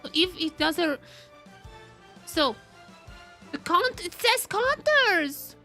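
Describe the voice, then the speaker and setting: A woman with a high, bright voice commentates casually on a game as she plays. She is expressive and repeats herself, with faint music underneath. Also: slow. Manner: expressive, mock-outraged, repeats phrases. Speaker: game streamer. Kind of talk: casual live gameplay commentary with exclamations.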